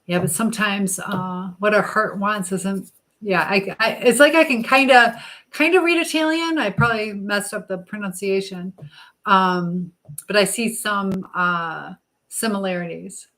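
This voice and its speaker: A woman hosting a casual live chat, reading out viewer comments and thinking aloud in a halting, rambling way. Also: medium pitch, a bit bright, poor audio. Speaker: livestream host chatting with live viewers.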